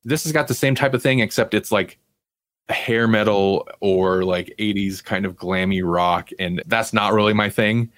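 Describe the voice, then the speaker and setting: A man's voice: fairly low pitch, normal speed, a bit bright, no echo. A man co-hosting a podcast, chatting casually about pop culture. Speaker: podcast co-host.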